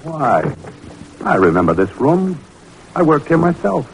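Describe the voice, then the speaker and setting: An actor playing an eager, good-natured young lab researcher in an old-time radio drama, straightforward and respectful. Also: male voice, a little high, very animated, a little slow, creaky, exaggerated voice, low-quality recording. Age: young adult. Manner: straightforward, respectful, lightly bantering. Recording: vintage radio recording with hiss.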